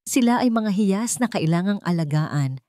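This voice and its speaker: A woman's voice: medium pitch, lively intonation, normal speed, dark tone, no room sound, studio quality, close to an everyday voice. A woman voicing a stern, solemn king in a dramatic story, speaking with cold, grave authority. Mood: solemn, reflective. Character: stern, solemn male king passing judgment.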